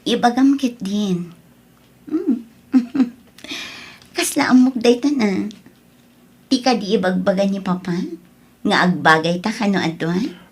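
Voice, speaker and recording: A woman acts out an affectionate Filipino teacher in an audio drama. She speaks tenderly in a husky, middle-pitched voice, gently coaxing. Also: slow pace, pauses often. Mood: coaxing, loving. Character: affectionate, gentle schoolteacher in love.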